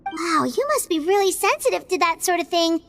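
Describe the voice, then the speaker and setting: A woman voicing a tiny floating girlish companion who is excitable and chatty and calls herself by her own name, in game dialogue. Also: very high-pitched, brisk, slight background noise, full character voice. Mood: excited. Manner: speaks of herself in the third person. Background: soft game music.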